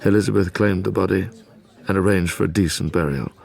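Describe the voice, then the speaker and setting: An actor playing a tormented, guilt-ridden young British gentleman scientist in an audio drama, speaking gravely and with literary care. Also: low voice, steady tone, brisk. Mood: grief-stricken. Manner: grave, brooding, literary delivery. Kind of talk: audio drama narration and dialogue.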